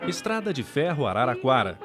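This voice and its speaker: A man's voice: medium pitch, sing-song, brisk, loud music behind the voice. A Brazilian man reading scripted documentary narration.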